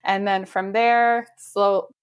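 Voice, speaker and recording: A woman in her thirties, a real estate agent from the United States, chatting relaxed in an interview with light laughs and fillers. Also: medium pitch, slow, smooth. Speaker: realtor guest. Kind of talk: casual interview chat.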